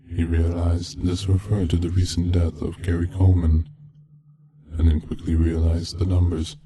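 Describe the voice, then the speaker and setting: A man reading a scripted eerie story as narrator, in a measured, solemn, deadpan way. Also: deep voice, slightly rough. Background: background music from game soundtrack.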